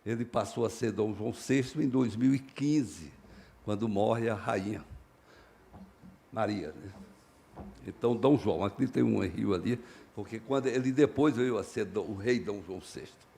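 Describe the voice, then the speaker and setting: A man giving a formal keynote speech at a conference, measured and building to emphatic stresses. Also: mid-range voice, creaky, mellow. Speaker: keynote speaker.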